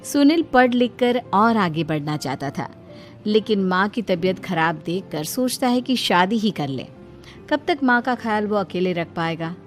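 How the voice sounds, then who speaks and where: A woman's voice: mid-range voice, animated, normal speed. A woman narrating a scripted moral story as its storyteller. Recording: music behind the voice.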